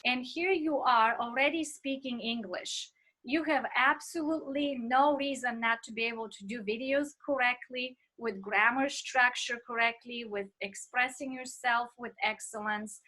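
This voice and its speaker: A woman's voice: fairly high pitch, normal speed, bright, forceful tone, poor audio. A middle-aged woman who speaks with an accent, teaching a recorded online ministry class with earnest personal stories and frequent fillers. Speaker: ministry instructor.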